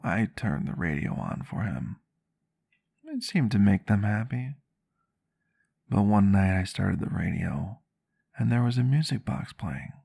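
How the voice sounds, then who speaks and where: Man playing a low, gravelly vampire doctor. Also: darkish tone, heavily acted. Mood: reflective. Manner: measured, reflective, old-fashioned phrasing. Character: male vampire doctor, ageless but youthful-seeming, reflective and gentle. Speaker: roleplay character telling a story. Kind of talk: ASMR roleplay storytelling.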